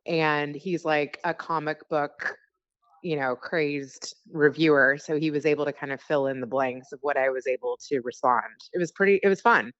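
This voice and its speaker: A woman's voice: low-pitched, normal speed, fairly bright tone. Casting: female, American, forties to fifties, informal chatty podcaster on a dull-sounding phone call, no room echo. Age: 40s to 50s. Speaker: podcast guest and fellow podcaster. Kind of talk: casual phone chat. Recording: muffled phone call, dry.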